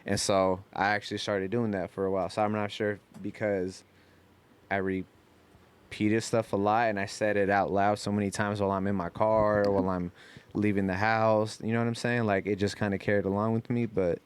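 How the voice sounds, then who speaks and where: A man's voice: fairly low pitch, flat delivery, normal speed, clear, resonant tone. A man telling stories in a casual podcast chat, rambling and swearing freely in a slangy way. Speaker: podcast co-host.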